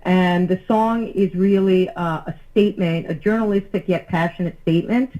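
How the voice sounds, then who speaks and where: A woman's voice: on the low side, fairly even intonation, normal speed, dark tone, dull audio. A woman, lead singer of a band, talking as a guest in a casual radio interview, upbeat and chatty with many fillers.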